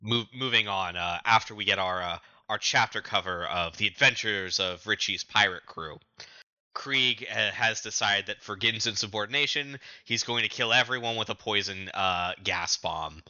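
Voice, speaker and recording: A man co-hosting a podcast, giving a casual, halting recap of comic chapters. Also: on the low side, sharp tone, dry recording, muffled recording. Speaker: podcast co-host.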